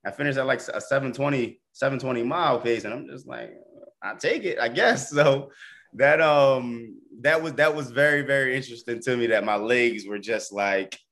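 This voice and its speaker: A man's voice: medium pitch, normal speed, a bit bright. A man in his thirties with an American accent, chatting informally as a guest and often repeating his words as he goes. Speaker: podcast guest, first-time triathlete. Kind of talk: casual chat.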